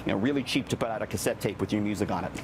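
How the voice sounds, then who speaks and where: A middle-aged Canadian man giving a conversational business news report in an animated, chatty, explanatory way. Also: lowish voice, racing, noisy background.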